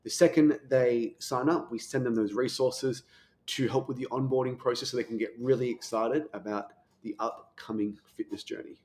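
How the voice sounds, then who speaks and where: A man giving coaching commentary on sales technique, talking fast with the occasional stutter. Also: mid-range voice, fairly even intonation, a little mellow.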